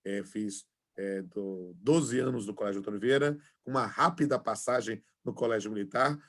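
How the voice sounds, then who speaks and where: A man's voice: fairly low pitch, moderate pace. A man in his forties with a Bahian accent, a law professor chatting informally to introduce himself to an online class over a video call, with many fillers. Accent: Bahian Portuguese. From Salvador, Bahia, Brazil. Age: 40s. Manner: chatty, filler-heavy, friendly. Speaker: law professor and labor judge.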